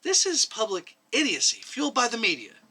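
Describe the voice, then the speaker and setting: A man acts out an indignant young woman doctor in a short story. The voice is high and forceful, curt and protesting. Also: huge pitch swings, brisk, breathy edge, heavily acted.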